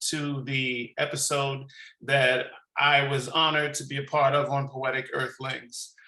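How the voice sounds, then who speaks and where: Upbeat New York man, bright echoey voice. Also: mid-range voice, flat delivery, a little slow. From New York City. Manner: enthusiastic, slangy and effusive. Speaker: podcast host. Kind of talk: casual interview chat.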